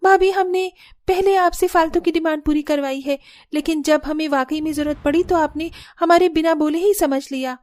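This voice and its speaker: A woman's voice: high voice, fairly even intonation, moderate pace, a little mellow, pitched above the performer's own voice, close to an everyday voice. A woman voicing a young student sister-in-law who whines and pleads in a cartoon family story. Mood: earnest. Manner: whiny, complaining. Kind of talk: Hindi cartoon story dialogue.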